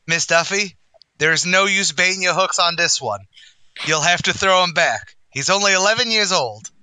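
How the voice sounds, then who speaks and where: A man's voice: sits high, lively intonation, normal speed, bright, forceful tone, clear, resonant tone, no room sound, full character voice. An actor playing a brash, sardonic tavern manager who mangles his grammar, in 1940s radio comedy style. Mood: wry, bossy. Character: wisecracking, malaprop-prone male manager of a shabby tavern.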